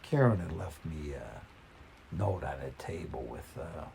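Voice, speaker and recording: A man reading a folksy first-person story in a halting, conversational way. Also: very deep voice, expressive, gravelly, darkish tone, slight background noise.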